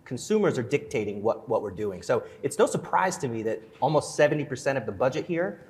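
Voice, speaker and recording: A man, a security executive on a conference panel, speaking in quick bursts with frequent restarts. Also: mid-range voice, sing-song, a little mellow. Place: conference stage. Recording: reverberant conference hall.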